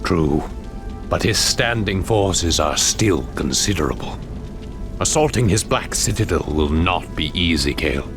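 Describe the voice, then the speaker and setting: Deep, slow, proud demon lord. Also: male voice, animated. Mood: cool, measured. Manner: grandiose, deliberate, commanding. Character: proud, menacing demon lord, cold and ambitious. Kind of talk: dramatic fantasy game cutscene acting. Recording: dramatic music under the voice.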